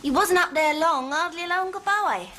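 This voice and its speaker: An actress playing a pert, cheeky young housemaid who talks in a saucy, ungrammatical way in a 1970s television period drama. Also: female voice, very high voice, moderate pace, sharp tone, slight background noise.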